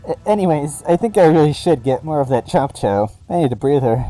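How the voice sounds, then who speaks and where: A man's voice: on the high side, expressive, a little fast, mellow, soft background music, pitched above the performer's own voice, natural voice, rough recording. A man voicing a nervous, goofy male hooded minion who stammers. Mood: jittery.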